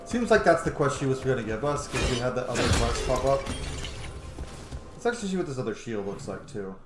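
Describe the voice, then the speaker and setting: A man's voice: medium pitch, sing-song, fairly quick, music in the background. A man giving casual, profanity-laced commentary while playing a video game. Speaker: gaming video host. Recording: roomy echo, clear background noise.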